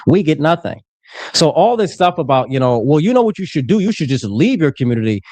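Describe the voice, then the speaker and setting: Fast, lively man lecturing hard. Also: fairly high pitch, no room sound. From United States. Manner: assertive, lecturing, insulting. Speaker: debater. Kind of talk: heated debate.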